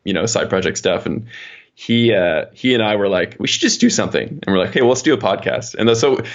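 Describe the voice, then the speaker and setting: A man's voice: fairly low pitch, fairly quick, muffled recording. A man chatting casually as an interview guest, explaining his work as an engineer in a rambling, informal way. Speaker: podcast guest, software engineer.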